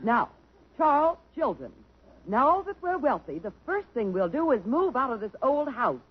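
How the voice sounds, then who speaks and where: A middle-aged, social-climbing wife in an old radio comedy. Her husky voice swings widely in pitch as she bosses the household theatrically. The broadcast sounds muffled. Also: big pitch swings, moderate pace, exaggerated voice. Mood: haughty, bossy. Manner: theatrical, bossy. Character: excitable, social-climbing wife and mother, newly rich. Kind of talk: 1950s radio comedy acting.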